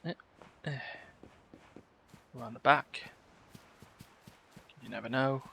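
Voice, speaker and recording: A man's voice: medium pitch, slow pace, vocal fry, bright, forceful tone, breathy edge. A man streaming a video game, giving casual live commentary and muttering asides to himself and his chat. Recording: game music behind the voice. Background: video game music.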